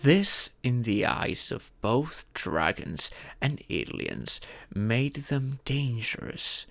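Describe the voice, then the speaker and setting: A man voicing a wise, fatherly male dragon who patiently teaches in a measured, formal storytelling manner for a roleplay audio. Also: mid-range voice, very animated, a bit bright, dry recording, full character voice. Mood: measured, explanatory.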